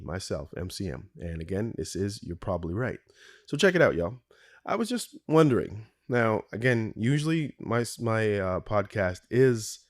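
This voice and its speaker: A man hosting his own podcast in a rambling solo monologue, his voice hoarse and raspy from a cold, chuckling often between asides. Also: on the low side. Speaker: podcast host.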